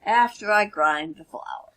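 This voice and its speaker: A woman's voice: medium pitch, unhurried, pushed and bright, low-quality recording. A woman voicing a confident, practical male builder pig in a children's storybook, firm and declarative. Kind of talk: children's storybook read-aloud.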